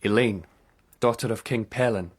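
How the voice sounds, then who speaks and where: A man's voice: fairly low pitch, normal speed, close to an everyday voice. An actor playing an eager, ingratiating young man at a king's court in a radio drama, with a deferential manner.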